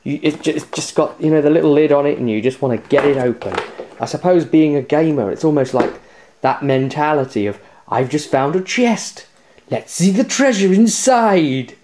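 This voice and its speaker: A man with a medium-pitched voice presents a casual unboxing review. He is chatty and playful, talking straight to his viewers with jokey asides and the odd theatrical flourish. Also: animated, normal speed, a little mellow, slight background noise. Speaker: host of a tabletop game review show.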